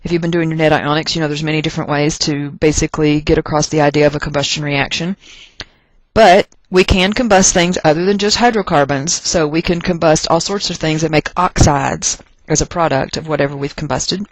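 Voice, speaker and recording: An American teacher from Texas with a mid-pitched voice gives a chemistry lecture in a folksy, conversational way. Key points get deliberate stress and repetition, with joking asides along the way. The sound is dry, with almost no room echo. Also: fairly even intonation. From Texas, United States. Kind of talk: lecture narrated over class notes.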